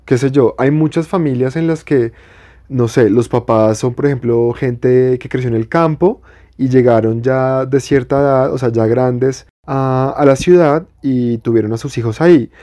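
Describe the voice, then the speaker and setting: A young man from Bogotá co-hosts a podcast in a relaxed, easygoing chat. His voice is mellow and warm, with a Bogotá accent. He works through his thoughts out loud and often restarts. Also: medium pitch, moderate pace. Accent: Bogotá Colombian Spanish. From Colombia. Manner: reflective, explains at length with restarts. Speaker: podcast co-host. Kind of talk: casual chat.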